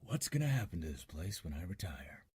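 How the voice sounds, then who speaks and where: Casting: man to play a weary, dogged 1940s radio police detective, very husky, lowish pitch, blunt questioning. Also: sing-song, brisk, darkish tone, heavily acted. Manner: blunt, pressing interrogator. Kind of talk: 1940s radio drama acting.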